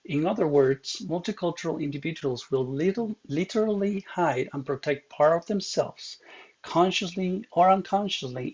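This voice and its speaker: A man reads a prepared presentation in a measured, earnest voice that slips on a word now and then. His voice is gravelly and a little husky. The recording is muffled and lo-fi. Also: a little high, darkish tone. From Ecuador. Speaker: counseling student. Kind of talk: read presentation narration.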